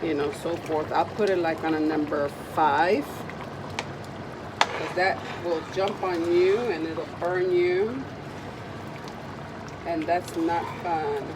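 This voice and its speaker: Raspy woman, lowish voice, chatty and meandering with ums, home kitchen cooking demo, heavy background noise. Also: slow, pauses often. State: recovering from a cold. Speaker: cooking video host. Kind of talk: casual cooking demonstration.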